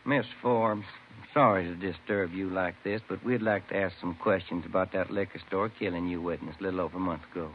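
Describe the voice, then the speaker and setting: Deep-voiced radio detective sidekick played by a man. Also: steady tone, brisk, lightly acted. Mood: businesslike, affable. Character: affable, plain-spoken male police detective partner. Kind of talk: vintage radio drama dialogue. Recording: tinny, hissy old radio recording.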